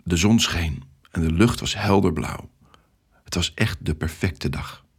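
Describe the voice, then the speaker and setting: A man reads a children's audio story as its narrator. His voice is low-pitched and a little husky. The delivery is scripted first-person storytelling aimed at young listeners. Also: a little slow.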